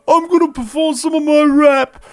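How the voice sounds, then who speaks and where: A performer voicing a spoiled, excitable young boy in a whiny manner. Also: sits high, moderate pace, voice pushed up, close to an everyday voice. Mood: tearfully overjoyed.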